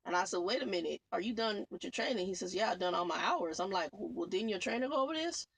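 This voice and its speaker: A woman's voice: mid-range voice, lively intonation, fast, creaky, breathy edge. A woman truck driver vlogging in a casual, rambling way, repeating herself and calling her viewers y'all. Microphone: phone.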